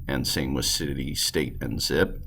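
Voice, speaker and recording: A man with a gravelly voice teaching a class, giving informal instructions and explanations as he reads aloud. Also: very deep voice, measured pace, fairly bright tone, slight background noise.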